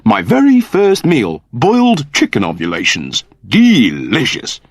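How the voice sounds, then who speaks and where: Sci-fi audiobook android, now human: prim, earnest, wordy, wildly animated pitch, very dry close sound. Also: male voice, measured pace, no room sound, heavily acted. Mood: delighted. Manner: prim, wordy, over-polite. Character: eager, fussy android newly turned human, male, naively earnest.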